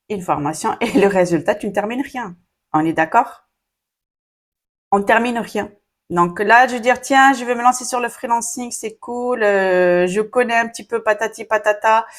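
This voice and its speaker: A woman giving casual online-business advice straight to her viewers, chatty and full of fillers, with a hint of an Arabic first language. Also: a little high. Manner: chatty, frequent fillers, addresses viewers directly. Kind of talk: casual talking-to-camera advice.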